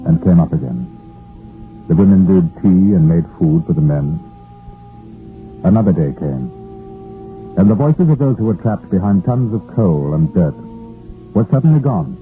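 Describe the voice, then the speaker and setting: An actor playing a composed Scotland Yard chief inspector who narrates his case in measured, deliberate phrases in a 1950s radio crime drama. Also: sits low, mellow. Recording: muffled old radio recording with faint music.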